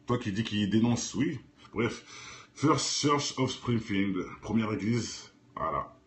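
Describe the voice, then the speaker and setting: Low-voiced man giving opinionated commentary. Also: a little hiss or hum. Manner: opinionated, argumentative, colloquial. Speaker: video host, commentator. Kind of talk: informal off-the-cuff reaction commentary.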